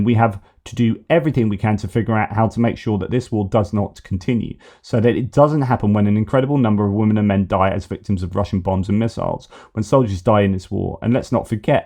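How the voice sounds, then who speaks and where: A man with a low, warm, relaxed voice talks through the day's news articles from a desk microphone. He reads the quotes out and keeps stopping for casual asides to his viewers, correcting himself as he goes. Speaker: news commentary host.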